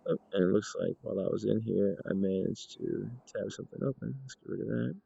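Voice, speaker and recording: A man narrating an informal software demonstration in a measured, explanatory way. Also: fairly low pitch, creaky, husky, dry recording. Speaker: gaming video creator demonstrating software. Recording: dull lo-fi voice recording.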